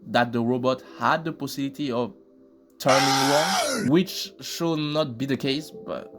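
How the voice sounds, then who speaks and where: A man's voice: medium pitch, expressive, measured pace. A man hosting casual video commentary, informal and joking, with plenty of slang. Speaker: host of reaction commentary videos. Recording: loud music behind the voice. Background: loud music.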